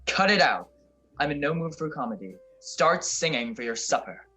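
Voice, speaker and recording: A man's voice: a little high, big pitch swings, moderate pace, sharp tone, soft background music. A man playing a tough, vengeful detective in an old radio-style crime drama, curt and threatening. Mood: curt, menacing. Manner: curt, threatening, hard-boiled. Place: recorded at home over a video call.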